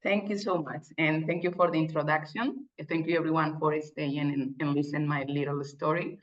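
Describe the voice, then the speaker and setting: A woman from Spain with a Spanish accent giving a personal keynote talk, speaking haltingly with frequent restarts and simple phrasing. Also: low-pitched, fairly even intonation. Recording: dull lo-fi recording.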